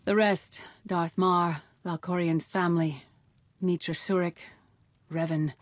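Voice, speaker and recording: Game role: serene Jedi mother, low register, dry recording, measured and gently admonishing. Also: fairly even intonation, dry recording. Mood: solemn relief. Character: serene, solemn elder Jedi woman, a mother. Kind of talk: video game cutscene acting.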